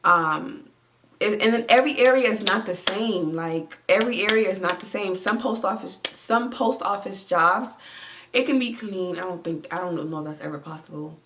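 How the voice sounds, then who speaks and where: A woman's voice: medium pitch, normal speed, noisy background. A young woman with a congested voice, chatting in a rambling, filler-heavy way as she reads viewer comments from home. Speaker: vlogger. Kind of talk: casual chat reading viewer comments. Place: at home, lying down.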